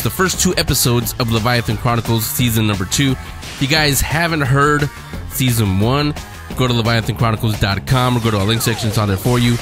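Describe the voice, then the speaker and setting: A man speaks as a podcast host, introducing a segment in a casual, conversational way. Music runs clearly underneath his voice. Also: medium pitch.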